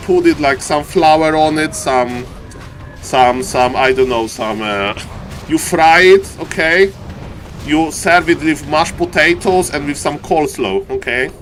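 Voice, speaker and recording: High-voiced Polish-accented man casting a game. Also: slight background noise. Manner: excitable, repeats phrases for emphasis. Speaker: esports caster and live streamer. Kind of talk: live strategy game commentary with chat banter.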